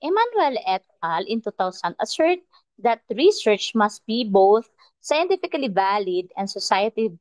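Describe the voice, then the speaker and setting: A Filipino-accented woman, a native Tagalog speaker, teaching a research methods lesson in a deliberate lecture style, often pausing with "uh" and checking in with "okay?". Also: medium pitch, sing-song, a little mellow, dry recording. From Philippines. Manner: slow, deliberate, frequent "okay?" check-ins and "uh" pauses. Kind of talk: recorded lecture to students.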